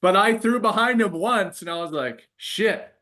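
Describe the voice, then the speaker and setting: A man with a bright, punchy voice recounts casual stories over a video call. He swears easily and slips into quoting other people as he tells them. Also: high voice, moderate pace. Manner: animated, profane, quotes others' lines. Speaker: former baseball pitcher. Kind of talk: casual anecdote telling among old teammates.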